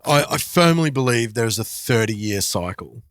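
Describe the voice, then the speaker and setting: A man's voice: mid-range voice, animated, a little slow, fairly bright tone, no echo. A man in his forties hosts a casual podcast conversation in a mid-range voice. He feels his way through sentences, repeating words as he goes, and the recording is close and free of room sound. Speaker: podcast host. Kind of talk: casual chat about music and culture.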